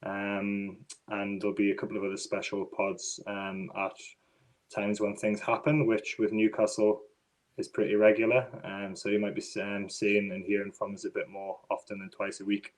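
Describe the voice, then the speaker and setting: A man's voice: on the low side, moderate pace. Man, northeast english accent, podcast host, casual chat, lots of ums, video call mic. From northeast England. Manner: relaxed, many ums and restarts.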